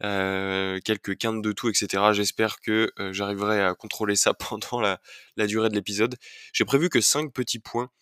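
A man's voice: lowish voice, steady tone, normal speed, a bit bright, clear, resonant tone, no echo, studio quality. A young man hosting his own podcast while he has a cold, talking casually with many fillers and restarts. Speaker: podcast host. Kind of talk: solo podcast chat.

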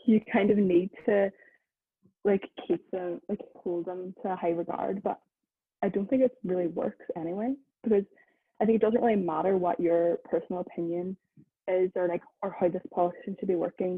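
A woman's voice: lowish voice, fairly even intonation, moderate pace, no echo. A young woman, a student, chatting loosely in a discussion with lots of "like" fillers. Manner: rambling, many "like" fillers. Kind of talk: casual discussion. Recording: dry, phone-like, husky-sounding line.

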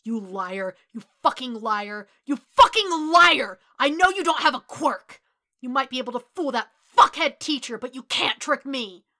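A venomous, hot-tempered teenage boy acted by a woman reading a story. The voice is forceful and sharp, pushed up into loud snarls and shouts. Every line is contemptuous and bullying. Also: high voice, lively intonation, moderate pace, pitched above the performer's own voice. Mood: furious accusing. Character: furious, bullying, foul-mouthed teenage boy. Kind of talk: dramatic fan fiction reading.

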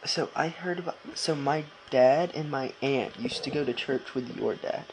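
A man's voice: medium pitch, moderate pace, slight background noise, poor audio. A young man co-hosting a casual podcast, bantering and interjecting in informal, jokey slang. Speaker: podcast co-host.

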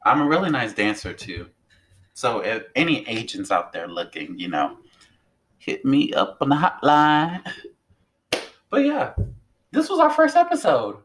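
A young man from New Orleans co-hosting a podcast, talking in a loud, animated, profane way. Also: mid-range voice, a little slow, pauses often. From New Orleans, Louisiana. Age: young adult. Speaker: podcast co-host. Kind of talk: casual chat.

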